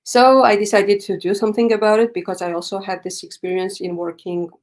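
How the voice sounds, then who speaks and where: A woman's voice: mid-range voice, level tone, brisk, a little mellow. A woman, answering interview questions as a startup founder in earnest, simple English. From Georgia. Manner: earnest, simple non-native phrasing. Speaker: startup CEO guest.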